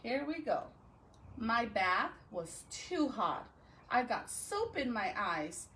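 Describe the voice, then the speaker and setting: A woman teacher reading a picture book aloud to children in an expressive, deliberate way, with chatty asides to her listeners. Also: on the high side, a little hiss or hum. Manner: animated, deliberate, dramatic phrasing with drawn-out words.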